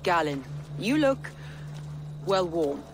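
A woman's voice: lowish voice, big pitch swings, slow pace, over music, low-quality recording. An actress playing a proud, resolute Viking woman warlord in a video game, speaking solemnly and with command. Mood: warm, grateful. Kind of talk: dramatic video game acting.

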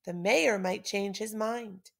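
A woman's voice: medium pitch, fairly even intonation, slow pace, vocal fry, fairly bright tone, heavily acted. A woman voicing a bossy, anxious male pigeon in a children's storybook, speaking in an urgent, emphatic way. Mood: pleading.

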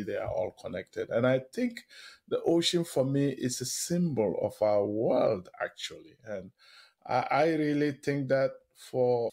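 A man with a slightly husky voice, a professor explaining his work as an interview guest in emphatic short phrases and rhetorical questions. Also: mid-range voice, a little mellow.

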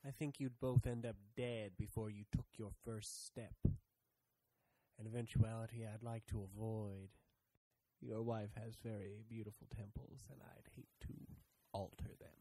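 A man's voice: lowish voice, little pitch movement, mellow, low-quality recording. A man acting in an audio drama as a suave, menacing gunman, mockingly polite. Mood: coolly menacing. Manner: drawn-out, mockingly courteous.

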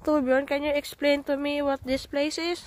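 A woman's voice: high voice, level tone, normal speed, slight background noise. A woman from the Philippines, feeling sick, chatting casually on a travel vlog, hunting for words and mixing languages. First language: Tagalog. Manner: chatty, switches between English, Tagalog and Swedish, searches for words. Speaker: vlogger. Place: outdoors at a rural estate.

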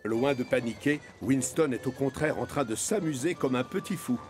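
Man, medium pitch, scripted children's narration, music underneath. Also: moderate pace.

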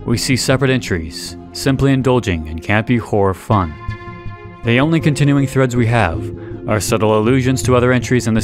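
A man reading scripted analysis narration in a measured, deliberate way with dramatic pauses. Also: lowish voice. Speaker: video essay narrator.

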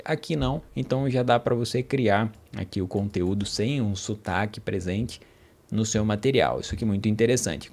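Man explaining a tool step by step. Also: fairly low pitch. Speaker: tech YouTuber. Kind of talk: casual screen-recording tutorial. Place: home studio with gaming chair. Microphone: studio mic on stand.

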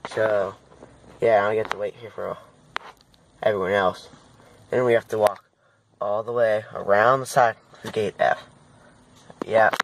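A man's voice: on the low side, steady tone, unhurried, pauses often. A young man vlogging in casual chat on a noisy handheld phone recording.

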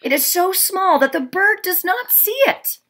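A woman's voice: very high voice, unhurried. A woman in her thirties, a teacher, reading a picture book aloud expressively for young children at home, recorded on a laptop webcam. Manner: gentle, expressive storybook reading. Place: home room, indoors.

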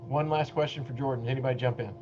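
A middle-aged man moderating a press conference from his office over a video call, briskly calling on reporters. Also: medium pitch, fairly even intonation, background noise. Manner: brisk, businesslike. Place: office, indoors. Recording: dull lo-fi video call audio.

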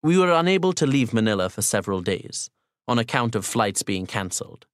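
A man playing a courteous young Pakistani man who confesses his story in formal, measured audiobook narration with deliberate pauses. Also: medium pitch, very animated, no room sound, studio quality, lightly acted. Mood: reflective, confessional. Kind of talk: first-person audiobook narration as dramatic monologue.

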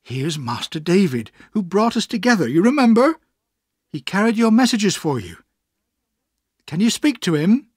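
A man putting on a tender older wife, high-pitched. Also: big pitch swings, moderate pace, breathy edge, dry recording, studio quality, full character voice. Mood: tender, cheerful. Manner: gentle, coaxing. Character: kindly, affectionate older wife, tender and devoted.

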